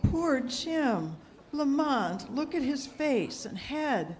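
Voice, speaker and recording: A woman's voice: high-pitched, very animated, slow pace, darkish tone, heavily acted. A woman playing a sympathetic, inquisitive lady companion to a detective in old-time radio drama style. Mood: sympathetic, concerned.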